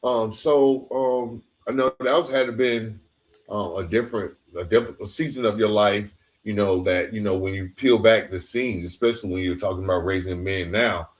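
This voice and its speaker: An American man hosting a casual podcast interview, searching haltingly for his questions. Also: fairly low pitch. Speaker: podcast host.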